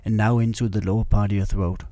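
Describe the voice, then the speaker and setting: A man guiding a meditation in a calm, soothing, instructional way. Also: fairly low pitch, quick pace, no room sound. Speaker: meditation guide. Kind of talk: guided meditation narration.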